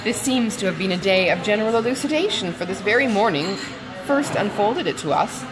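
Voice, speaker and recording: A woman voicing a sensible young gentlewoman in an audiobook, measured, polite and restrained. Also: mid-range voice, animated, music in the background, lightly acted, rough recording. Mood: forced calm. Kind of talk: audiobook character dialogue.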